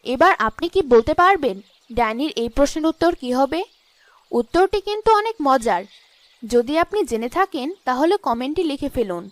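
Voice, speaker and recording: A woman's voice: high voice, very animated, normal speed, no echo. A woman narrating a scripted cartoon story as its storyteller.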